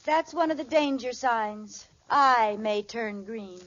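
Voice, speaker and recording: Muffled vintage radio, audience and heavy noise: a plaintive, scheming housewife, theatrically suffering. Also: female voice, on the high side, takes it slowly. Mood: feigned weakness. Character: scheming, melodramatic housewife feigning a dramatic illness. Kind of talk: 1940s radio sitcom acting. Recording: muffled, very noisy old radio recording, audience.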